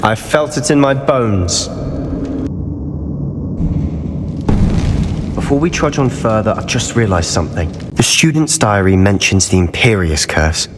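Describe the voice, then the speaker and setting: A man's voice: lowish voice, animated, normal speed, very noisy, lightly acted. An actor playing an earnest, driven teenage male student of wizardry in a video game, explaining things intently and articulately. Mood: eager, persuasive. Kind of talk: dramatic video game voice acting. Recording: long cavernous reverb.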